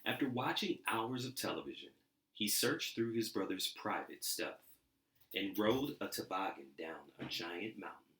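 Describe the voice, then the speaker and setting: Male reader for a children's storybook, deep low register, expressive narration, audibly echoey room. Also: measured pace. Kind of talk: expressive storybook read-aloud. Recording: roomy, echoey indoor sound.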